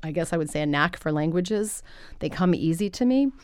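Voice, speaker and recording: A middle-aged woman talks through her past in a podcast studio, her voice on the low side. She tells stories in a lively, chatty way and laughs easily. The sound is dry and close, with no room around it. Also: a little fast, clear, resonant tone, no echo. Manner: lively storyteller, laughs often. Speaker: guest, interpreter. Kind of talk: conversational podcast interview. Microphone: studio microphone.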